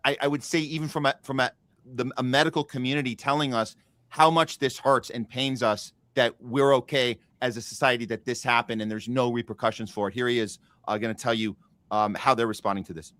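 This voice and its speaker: A man's voice: medium pitch, a bit bright, no echo. A man hosting a political talk podcast, speaking earnestly and rambling with frequent restarts. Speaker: podcast host. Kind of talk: casual political talk show.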